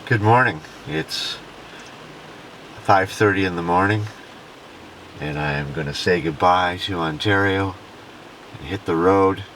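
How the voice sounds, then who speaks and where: A low-pitched man narrates a travel vlog as he goes. His voice sits deep, with clear ambient and vehicle noise behind it. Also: a little slow, pauses often. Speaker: travelling musician and show host.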